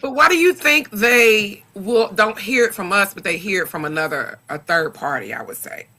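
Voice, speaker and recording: Deep-voiced woman, earnest and bright. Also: animated, normal speed. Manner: earnest, repeats words while finding her point. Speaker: podcast panelist. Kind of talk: casual discussion.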